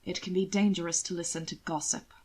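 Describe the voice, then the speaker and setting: A woman voicing a sardonic, coolly polite aristocratic gentleman in an audiobook, with a droll, formal, understated delivery. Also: lowish voice, steady tone, fairly quick, slightly rough. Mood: cool disdain. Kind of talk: audiobook character dialogue.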